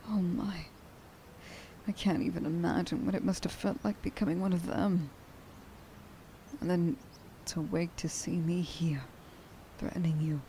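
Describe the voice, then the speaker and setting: A woman playing a tender, emotional young huntress in an ASMR roleplay, speaking softly and breathily and often dropping toward a whisper. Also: on the low side, slight background noise. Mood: pained, sorry. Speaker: voice actor.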